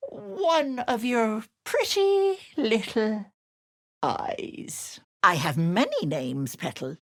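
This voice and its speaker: Wildly swooping, sly old auntie hag. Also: female voice, on the high side, big pitch swings, very slow, exaggerated voice. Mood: sinister bargaining. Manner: cooing, wheedling endearments with sudden menace. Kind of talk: theatrical video game character acting.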